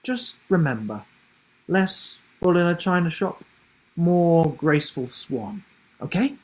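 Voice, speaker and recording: Young storybook boy played by a man: caring, steady, encouraging, lightly breathy and rough. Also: fairly high pitch, takes it slowly, voice pushed up, low-quality recording. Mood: reassuring. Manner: reassuring.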